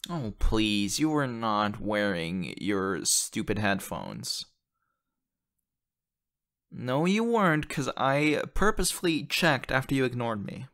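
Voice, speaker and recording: A man playing an excitable, affectionate young boyfriend in an intimate ASMR audio roleplay, talking haltingly and teasing playfully. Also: medium pitch, exaggerated voice. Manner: halting, repeats words, breathy laughs and sighs.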